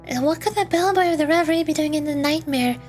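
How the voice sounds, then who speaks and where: A woman's voice: high voice, quick pace, a little mellow, soft background music. A woman VTuber on a game stream, chatting casually and reading the narration aloud.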